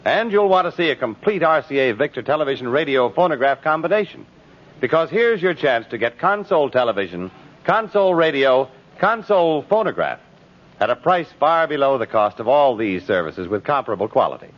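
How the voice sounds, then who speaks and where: Animated 1950s male radio announcer. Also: a little high. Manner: polished, emphatic salesmanship. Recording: muffled old 1950s radio recording.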